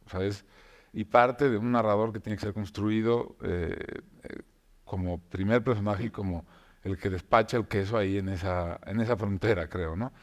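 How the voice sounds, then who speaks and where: A man, a novelist, talking reflectively about writing, with frequent pauses and restarts. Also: on the low side, steady tone. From Mexico. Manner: reflective, many filler hesitations and restarts. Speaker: novelist guest. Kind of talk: conversational talk show discussion.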